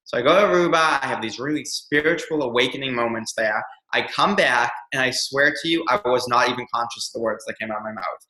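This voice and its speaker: A man's voice: mid-range voice, quick pace, hardly any pauses, pushed and bright, reverberant, low-quality recording. A young man speaking as an interview guest, telling a personal story in an earnest, reflective way with frequent ums.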